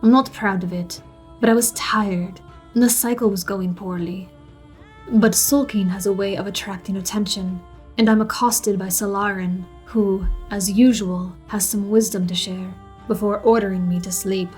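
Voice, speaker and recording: Woman voicing a gravelly, wry male drow elf. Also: medium pitch, fairly even intonation, normal speed, darkish tone, soft background music, natural voice. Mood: sulky, wistful. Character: male drow elf narrator, sulky, wry, self-deprecating. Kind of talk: first-person fantasy audio story narration.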